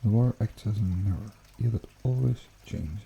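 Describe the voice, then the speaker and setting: A man hosting an ASMR guided meditation in a hushed, unhurried, soothing way with gentle pauses. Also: lowish voice, creaky, highs cut off, slight background noise. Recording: water trickling behind the voice. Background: pond water bubbling and trickling.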